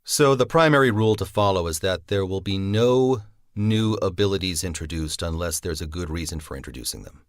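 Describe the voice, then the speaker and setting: A man's voice: deep voice, fairly quick. A man who writes and created a drama series, explaining his story choices thoughtfully in an interview, with some filler sounds. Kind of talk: interview answer.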